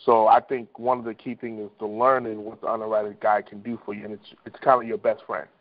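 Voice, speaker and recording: A man's voice: on the low side, level tone, fast talker. A man giving informal team coaching on a conference call over the telephone, conversational and repeating himself. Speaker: insurance agent team leader. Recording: dull, lo-fi conference phone line.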